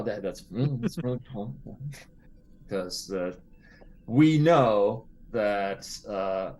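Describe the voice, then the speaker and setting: A middle-aged man with a fairly low voice talks over clear background noise. He is a player at a tabletop game, chatting casually and musing playfully over what to do next. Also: darkish tone, poor audio.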